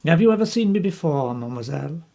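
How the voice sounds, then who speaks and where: A woman reading the part of an older male detective, calm and formal, in a slow, deliberate husky voice. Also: deep voice, full character voice. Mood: grave.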